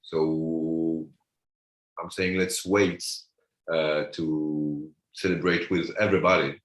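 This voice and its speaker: A man's voice: very deep voice, level tone, slow pace, lo-fi sound. A man, chatting casually as a guest comedian, often repeating words as he searches for them. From France. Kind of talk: casual chat. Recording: roomy echo.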